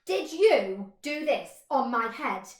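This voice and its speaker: A woman voicing an indignant, short-sighted little male mole from a children's storybook, asking in a loud, accusing way in a roomy space. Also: sits high, very animated, takes it slowly, heavily acted.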